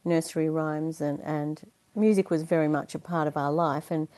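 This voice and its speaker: Low, mellow-voiced woman. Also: fairly even intonation, normal speed, no echo. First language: Dutch. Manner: chatty and earnest, laughs easily, many fillers. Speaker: interview guest, performer. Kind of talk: conversational interview.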